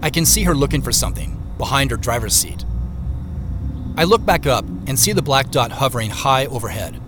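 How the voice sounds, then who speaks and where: A man's voice: medium pitch, lively intonation, fairly quick, background noise. A man reading scripted first-person narration and credits for an audio drama.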